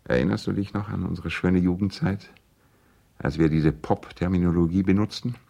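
A gentlemanly lawyer and concerned old friend in a vintage radio play. He has a low, deep voice and delivers his letters in an earnest, personal, pleading way. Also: steady tone, normal speed, gravelly, dull audio. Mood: wry, confiding. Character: courteous, worried male lawyer and loyal family friend. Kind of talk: 1970s German radio play, letters read aloud.

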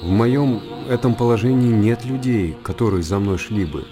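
A man's voice: fairly low pitch, moderate pace, music in the background, lightly acted. A man playing a contemplative hermit monk who lives in seclusion, delivering a literary radio drama monologue. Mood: serene, detached. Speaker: narrator in a radio play.

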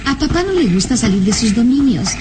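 A courteous young lady in a vintage radio drama. Her voice is fairly high and expressive, full of polite curiosity and admiration, over a noisy old recording. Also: brisk. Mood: soothing. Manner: courteous, inquisitive. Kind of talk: old Latin American radio serial acting.

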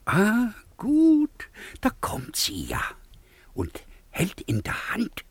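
A man playing a disgraced, drunken, scheming tutor in a German radio play, husky and breathy, halting and exaggerated. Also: high voice, wildly swooping pitch, slight background noise, exaggerated voice. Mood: vengeful muttering. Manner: halting, exaggerated, muttering to exclaiming. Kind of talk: German radio play acting of a classical comedy.

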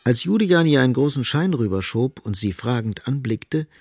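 Novel's storyteller, gravelly, deliberate, expressive, scripted radio narration, light music bed. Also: male voice, mid-range voice, no room sound. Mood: observant. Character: omniscient male storyteller of a literary novel. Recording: faint music behind the voice.

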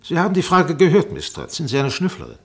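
An actor playing a sharp, sarcastic defense barrister in a radio courtroom drama, pressing, insinuating and commanding. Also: male voice, fairly high pitch, wildly swooping pitch, fast. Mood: needling, insistent. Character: sharp, sarcastic male defense barrister cross-examining a witness.

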